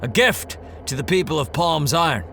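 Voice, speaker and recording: An actor playing a bold, steady wasteland monster hunter in an audio drama, with a dry, confident, commanding manner. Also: male voice, a little high, normal speed, vocal fry, slight background noise, exaggerated voice.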